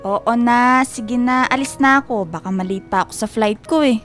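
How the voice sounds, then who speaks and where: Woman acting a high-voiced, hurried girlfriend. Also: fairly bright tone, close to an everyday voice. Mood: breezy, hurried. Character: concerned, hurried female best friend. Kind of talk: radio drama acting. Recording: music bed behind the voice.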